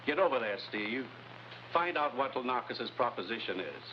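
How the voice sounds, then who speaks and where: An actor playing a grave, authoritative commissioner giving firm, clipped orders in a 1950s television spy adventure. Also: male voice, mid-range voice, big pitch swings, normal speed, natural voice. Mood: grave, commanding. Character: grave, authoritative commissioner briefing his agent. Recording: muffled old TV soundtrack, hissy noise.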